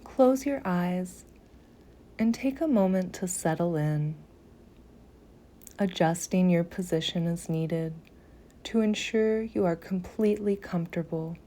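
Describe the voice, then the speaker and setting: A woman guiding a meditation in a soothing, gentle manner, softly breathy at phrase ends, with long pauses between short phrases. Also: lowish voice. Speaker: yoga nidra meditation guide. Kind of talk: slow guided meditation.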